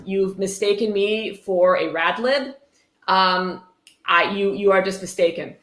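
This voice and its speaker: A woman's voice: mid-range voice, unhurried, a bit bright. Echoey, sarcastic woman livestream host. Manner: sarcastic, irreverent, swearing freely. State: tipsy. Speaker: livestream host reading a book aloud. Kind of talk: casual livestream commentary with read-aloud passages. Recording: roomy, echoey room sound.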